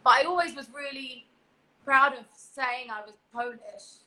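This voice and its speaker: A woman's voice: high-pitched, a little slow, pushed and bright, rough recording. A young woman guest chatting casually on a video call, laughing often and restarting her sentences. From England. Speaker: guest performer. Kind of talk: casual interview chat.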